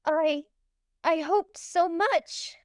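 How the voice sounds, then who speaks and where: A woman's voice: very high voice, very slow, dry recording, studio quality, full character voice. A woman playing an earnest, hopeful young princess in a fantasy audio play, with a pleading manner. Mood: disappointed.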